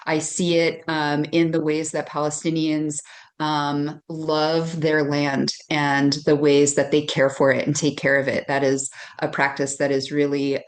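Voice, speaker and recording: A young adult woman from Hawaii speaking earnestly as a panelist, with frequent fillers. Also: sits low. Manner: earnest, many um fillers, occasional laughs.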